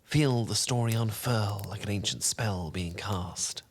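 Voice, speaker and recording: A man playing a charming, learned wizard who tells a bedtime story in a soft, velvety, theatrical and slightly pompous voice, in a soothing fan roleplay. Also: lowish voice. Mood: hushed, dramatic. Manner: theatrical, eloquent, unhurried, gently pompous.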